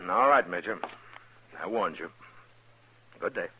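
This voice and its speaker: An actor playing a steady, blunt frontier marshal in a 1950s radio western. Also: male voice, deep voice, slow, slight background noise, full character voice. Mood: curt, displeased. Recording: dull vintage radio recording.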